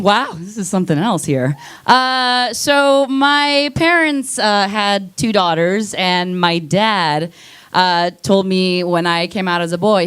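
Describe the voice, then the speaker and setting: A woman's voice: mid-range voice, fairly bright tone, clear, resonant tone. A young woman performing a stand-up set in a comedy club, rambling, swearing casually and laughing at her own slips. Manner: rambling, self-deprecating, loses her place and laughs at herself.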